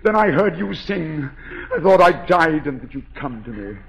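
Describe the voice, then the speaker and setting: A man's voice: fairly high pitch, very animated, creaky, a bit bright, full character voice. Tormented masked madman, echoing voice. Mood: brooding, eerily tender. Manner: theatrical, slow, brooding speech that swells into frenzy. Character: mad disfigured masked composer, tormented, obsessively tender, hiding underground. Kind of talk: 1940s radio drama acting. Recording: echoey 1940s radio broadcast with music.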